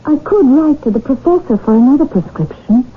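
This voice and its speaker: A woman's voice: high-pitched, expressive, brisk. An actress playing a vague, guileless young Englishwoman, detached and hesitant, in a period radio drama. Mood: vague, distant. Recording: tinny old radio broadcast, faint noise.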